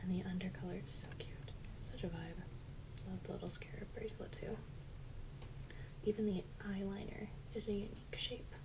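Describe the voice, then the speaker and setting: A young adult woman speaking in a soft, breathy, often near-whispered voice, chatting casually and slangily as an ASMR host. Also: mid-range voice, steady tone, moderate pace, low-quality recording. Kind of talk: soft-spoken ASMR doll unboxing, casual chat. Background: loud noise, clicks and crinkles of unboxing.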